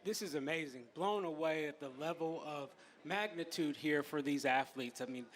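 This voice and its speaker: A man's voice: a little high, normal speed, a bit bright. A man, a marketing executive, answering interview questions with enthusiastic, promotional energy.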